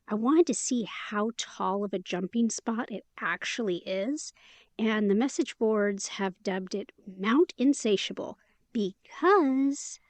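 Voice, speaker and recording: A woman's voice: medium pitch, darkish tone, dry recording, natural voice. A woman playing a chatty, upbeat young woman in an immersive audio drama, talking in a casual, playful, slangy way.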